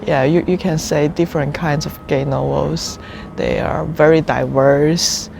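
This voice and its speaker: A woman's voice: low-pitched, steady tone, measured pace, vocal fry, over music. A young woman in her twenties from China, a native Chinese speaker, answering questions in a casual, frank way with restarts, recorded outdoors on a rooftop terrace. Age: 20s. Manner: open, frank, with frequent restarts and laughs. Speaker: interviewee.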